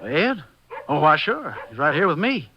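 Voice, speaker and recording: A man's voice: a little high, very animated, measured pace, background noise. A man playing an obliging, chatty, folksy farm neighbour in a 1950s radio drama. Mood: friendly. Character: obliging, busy farm neighbour giving an alibi. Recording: muffled 1950s radio recording.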